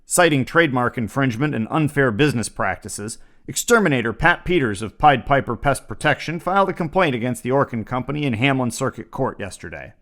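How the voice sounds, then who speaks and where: Male host, scripted parody news, dry mock-serious newscaster delivery, medium pitch. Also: expressive, fairly quick, hardly any pauses, fairly bright tone. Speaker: comedy podcast host.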